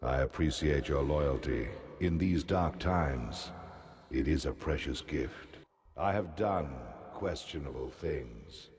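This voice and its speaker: An actor playing a grave, remorseful noble spiritual leader in a fantasy video game, speaking in a formal, measured manner. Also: male voice, rumbling low, darkish tone, slight background noise. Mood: remorseful, sorrowful. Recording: echoey hall reverb, clear background ambience.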